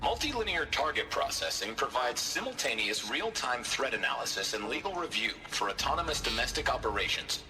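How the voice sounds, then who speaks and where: A man playing a slick, confident military hardware announcer in a video game, reading a punchy scripted sales pitch. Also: big pitch swings, fairly quick, few pauses, music in the background, natural voice, poor audio. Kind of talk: scripted promotional narration.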